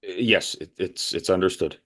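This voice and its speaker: A man's voice: sits low, normal speed, fairly bright tone. A man playing a polite, earnest, inquisitive interviewer in an audio play, hesitant and prone to stammering. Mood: hesitant, agreeable.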